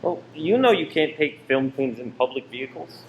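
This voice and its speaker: A man's voice: fairly high pitch, animated, normal speed. A man playing a tense, secretive married cinema owner, insistent and controlling, in a radio play. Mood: urgent coaxing. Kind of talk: amateur radio play acting. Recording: roomy echo with faint noise.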